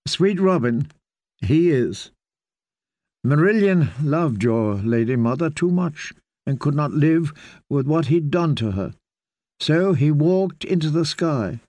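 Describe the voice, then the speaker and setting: A man doing an anxious young noblewoman, soft, coaxing, dry close sound. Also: fairly high pitch, lively intonation, slow pace, no room sound.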